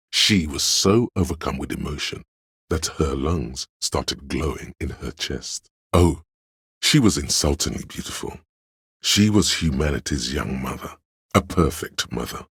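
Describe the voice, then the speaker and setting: An actor playing an erudite, reverent inner voice of knowledge in a video game, narrating in a measured, grandiloquent way with a deep, gravelly voice. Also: male voice, no echo, studio quality, full character voice. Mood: reverent, enraptured. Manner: measured, grandiloquent, savoring words. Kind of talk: dramatic scripted narration.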